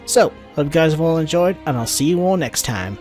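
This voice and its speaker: A man's voice: a little high, animated, fairly quick. A man hosting a video game walkthrough, giving casual commentary and talking to his viewers. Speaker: let's play host. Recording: faint game music behind.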